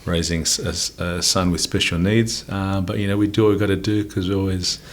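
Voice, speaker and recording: A very deep-voiced Australian man in his forties speaks as a podcast guest. He talks in a laid-back, rambling way, scattering ums and calling people mate. The talk is loose, easygoing chat. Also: steady tone, brisk. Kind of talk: casual interview chat.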